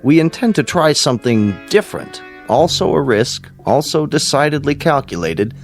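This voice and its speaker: An American actor playing a grave, paternal space-program scientist in a radio drama, speaking formally, deliberately and with emphasis. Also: male voice, on the high side, very animated. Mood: solemn, emphatic. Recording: music behind the voice.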